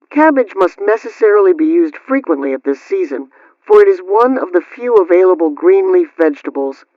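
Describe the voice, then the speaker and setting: A man reading scripted household instructions as a radio host, in a measured, formal way, on dull radio-style audio. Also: high voice, no room sound.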